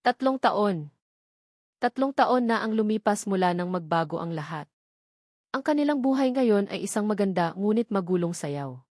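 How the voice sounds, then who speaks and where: A woman's voice: medium pitch, expressive, moderate pace, darkish tone, no echo, clean studio sound. A woman with a Filipino accent reading a scripted romance story as a dramatic narrator.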